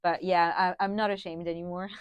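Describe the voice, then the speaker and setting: A young woman from France, a guest on a podcast, chatty and laughing often, with many pauses and restarts. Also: lowish voice, steady tone, clear, resonant tone, dry recording. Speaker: guest, food brand founder. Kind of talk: casual interview.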